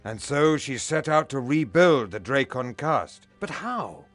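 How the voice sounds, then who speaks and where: An actor playing a grave, honourable veteran warrior commander in a fantasy game, with a formal manner. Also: male voice, mid-range voice, animated, a little slow, fairly bright tone, faint music behind. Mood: pondering. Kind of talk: fantasy game cutscene acting.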